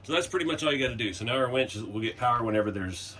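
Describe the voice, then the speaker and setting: A man with a middle-pitched voice, talking through a relaxed workshop tutorial in a home garage. He is chatty and easygoing, fills pauses often, and sounds a bit hoarse. Faint ambient noise sits behind him. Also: rough recording. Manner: laid-back, chatty, frequent "uh" and chuckles. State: hoarse, losing his voice. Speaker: RC hobby channel host.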